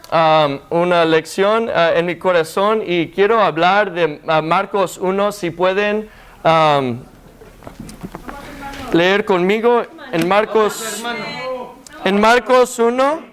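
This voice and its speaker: Fields: Man. Native tongue: English. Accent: American. Style: halting sermon. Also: high-pitched, fairly bright tone, clear, resonant tone. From United States. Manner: hesitant, many fillers and restarts. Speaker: guest preacher. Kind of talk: sermon in second language.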